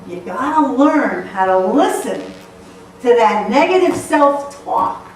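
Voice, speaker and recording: A woman gives a playful, laughing motivational talk in a high voice that swoops up and down. The sound comes from a distant mic in a very echoey hall with faint noise behind. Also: takes it slowly. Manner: lively, playful, often laughing. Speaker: motivational speaker. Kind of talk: humorous storytelling talk to a live audience.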